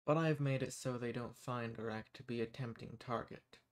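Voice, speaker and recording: A man voicing an arrogant, menacing archdemon in a dramatic audio roleplay, speaking in a condescending, theatrical, unhurried manner. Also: mid-range voice, steady tone, close to an everyday voice. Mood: quietly threatening. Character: arrogant, menacing male archdemon who strikes bargains.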